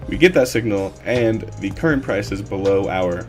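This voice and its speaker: A man with a lowish, slightly hoarse voice talks through a live coding stream at his desk. He rambles along loosely, with plenty of ums and restarts. Music plays clearly under his voice. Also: moderate pace. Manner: relaxed, rambling with many fillers. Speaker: livestream coding instructor. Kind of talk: casual live-stream tutorial.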